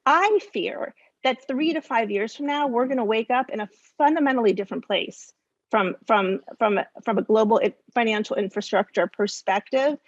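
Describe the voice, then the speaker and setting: A woman speaking off the cuff as a policy expert, halting and emphatic with repeated words. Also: fairly high pitch, no room sound, dull audio. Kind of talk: spontaneous panel commentary.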